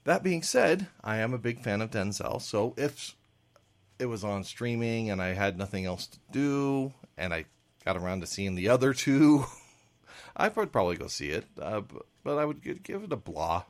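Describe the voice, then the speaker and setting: A man on a casual movie panel talks with a lively, swooping pitch. He wanders through a roundabout argument before landing on his rating, chuckling at himself as he goes. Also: normal speed. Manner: rambling, builds a roundabout argument, laughs at himself. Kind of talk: casual movie review chat.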